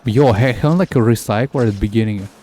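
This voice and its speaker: A man who is a native Russian speaker, streaming a game with casual, halting, jokey commentary. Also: mid-range voice, mellow, slight background noise.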